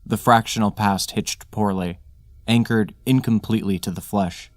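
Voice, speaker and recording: A man's voice: on the low side, fairly even intonation, moderate pace, no echo, clean studio sound. A man reading scripted material as the narrator and host of a fiction podcast.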